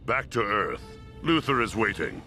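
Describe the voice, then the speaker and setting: A male actor playing a gruff, commanding comic-book supervillain in a video game cutscene, with a curt manner. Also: lowish voice, very animated, measured pace, music in the background, full character voice.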